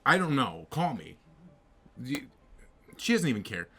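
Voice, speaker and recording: Male podcast co-host, young, bright forceful voice, lively swinging pitch, rambling comic exaggeration. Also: a little slow, slightly rough. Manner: animated, rambling, jokingly exaggerated. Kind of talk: casual comedic banter about films and games.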